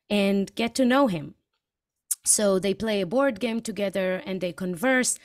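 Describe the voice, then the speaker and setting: A woman who grew up in Israel speaks at an unhurried pace, pausing often to gather her thoughts. The sound is dry and close, with no room around it. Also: on the high side, no echo. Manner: thoughtful, hesitant with many ums. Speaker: guest filmmaker. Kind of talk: interview answers.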